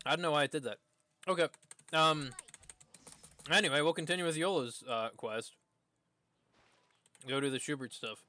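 A man's voice: on the high side, moderate pace, pauses often, pushed and bright, dry recording. A man giving casual live commentary as he streams a video game.

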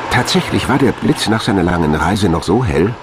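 A man's voice: sits low, expressive, fairly quick, noisy background. A man reading scripted science documentary narration as a studio voice-over.

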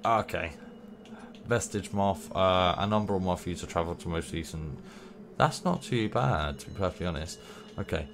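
A man's voice: low-pitched, normal speed. A young man with a runny nose giving rambling, playful commentary while playing a game. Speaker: gameplay streamer. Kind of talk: casual gameplay commentary. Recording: noisy game sound behind voice.

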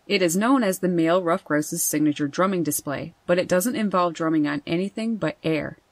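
A woman host reading scripted nature narration over wildlife footage in a measured, informative way. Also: fairly low pitch. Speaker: birding channel host.

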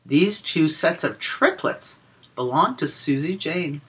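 A woman reading a picture book aloud to young children in a sing-song, deliberate way, explaining and counting as she goes. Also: low voice, expressive, fairly bright tone, husky. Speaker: children's story reader and teacher.

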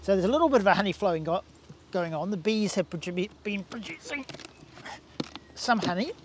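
A man's voice: sits high, animated, fairly quick, background noise. A man in his sixties with a New Zealand accent, a bee scientist explaining hive equipment outdoors in a garden, talking with restarts and gestures. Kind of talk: outdoor demonstration talk. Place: garden outdoors beside beehives.

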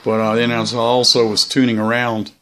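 A man talking casually as a hobbyist vlogger, halting and unhurried as he explains things. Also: medium pitch, little pitch movement, background noise. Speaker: shortwave radio hobbyist vlogger. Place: indoors, small room beside radio gear.

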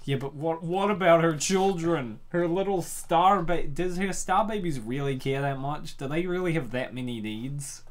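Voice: medium pitch, moderate pace, hardly any pauses. A host giving casual, jokey reaction commentary on a comic, with a playful, exclamatory manner.

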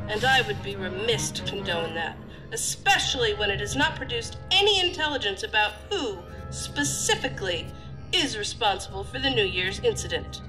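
A woman's voice: a little high, sharp tone, rough recording. A woman playing a stern, critical deputy director of a federal agency in an audio drama, formal and authoritative.

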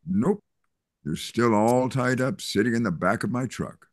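An older man reading a kindly small-town male pastor in an online play reading, soothing and measured, over a video call. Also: medium pitch, dry recording, clean studio sound, natural voice. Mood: calm. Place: home room on a video call. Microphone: video call computer mic.

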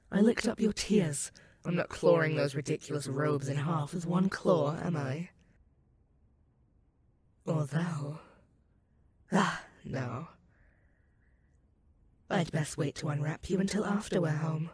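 A woman's voice: deep voice, a little mellow. A woman playing an ancient, sadistic and possessive female werewolf demon in a roleplay audio, purring menace and mocking pet names at the listener. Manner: slow, teasing, purring menace with mocking endearments.

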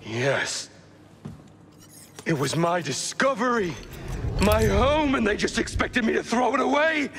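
An actor playing a proud, bitter, betrayed ancient Jedi warrior in a video game, speaking in a grandiose, formal, theatrical way. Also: male voice, high voice, lively intonation, unhurried, long pauses, a bit bright, full character voice. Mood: bitter, aggrieved. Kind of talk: dramatic cinematic game acting.

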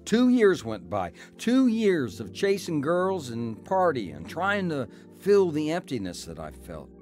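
A man's voice: on the high side, huge pitch swings, measured pace, a little mellow. A man playing a disillusioned young husband and father in a radio drama, both narrating and talking in scenes. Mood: reflective, regretful. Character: disillusioned young husband and father recalling his troubled life. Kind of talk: radio drama acting with first-person narration.

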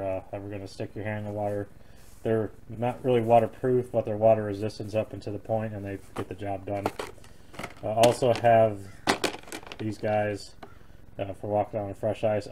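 A man with a lowish, fairly flat voice talks casually through his fishing gear, filling gaps with "uh" and "um" as his hands move things around. The sound is a close-up home video in a garage, with steady background noise and crinkling packaging nearby. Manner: relaxed, filler-heavy, hands-on while handling gear. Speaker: fishing channel host. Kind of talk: casual show-and-tell of gear. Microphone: camera held close, filming his hands.

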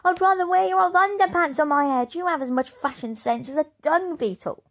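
A woman voicing a rude, bullying girl who talks loudly with a sneer. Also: animated, normal speed, vocal fry, exaggerated voice. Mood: scornful. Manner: loud, sneering, high.

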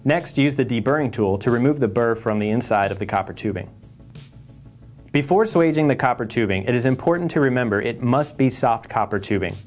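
A man delivers scripted instructional narration as a product presenter. His pitch is average for a man, and music plays faintly behind him. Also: expressive, a little fast, darkish tone.

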